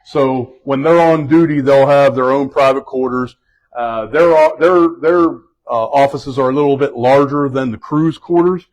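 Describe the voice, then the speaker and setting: A fire chief leading a group around a building indoors, speaking loudly in a casual, halting way with many fillers and restarts. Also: male voice, mid-range voice, rough recording. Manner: loud voice. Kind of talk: casual spoken tour guiding.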